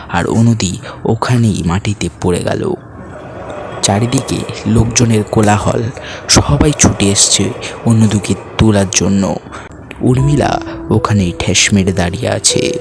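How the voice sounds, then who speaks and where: A man hosting and narrating a scripted ghost story in a dramatic storytelling style. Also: on the low side. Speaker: horror story narrator and host. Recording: faint music bed under voice. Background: soft background music.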